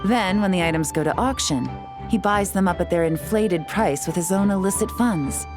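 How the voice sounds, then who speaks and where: A woman's voice: lowish voice, moderate pace, music in the background, natural voice. An actress playing a cool, composed woman investigator in a video game, articulate, wry and sly in a businesslike way. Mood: cool, explanatory. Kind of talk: scripted video game dialogue.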